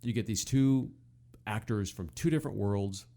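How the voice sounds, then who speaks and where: Lowish-voiced man in casual chat. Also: moderate pace, dark tone. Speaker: podcast co-host.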